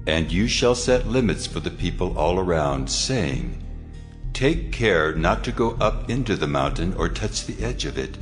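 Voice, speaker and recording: A majestic, commanding God played by a man speaks in a dramatized scripture reading. The voice is very deep and swings widely in pitch, grave and authoritative, with soft music underneath. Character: God, solemn, commanding, majestic male deity.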